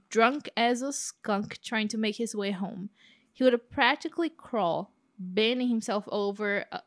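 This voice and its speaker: A woman's voice: mid-range voice, no room sound, studio quality. A young woman with a Brazilian accent co-hosting a true-crime podcast, chatting casually with sarcastic asides. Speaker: podcast co-host.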